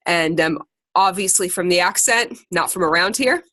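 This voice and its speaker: A woman with an American accent and a fairly low voice leads a group call in a dry, close sound. She talks casually and chattily, with an informal, self-deprecating streak as she motivates the group. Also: moderate pace, a bit bright, dry recording. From Ohio, United States. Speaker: secondary teacher and podcaster leading a group call. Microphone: conference call.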